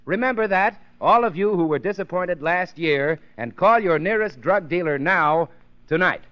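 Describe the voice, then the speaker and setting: Old time radio announcer guy, pretty high voice, muffled 1930s recording. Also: expressive, moderate pace. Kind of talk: 1930s radio sponsor announcing and scripted banter.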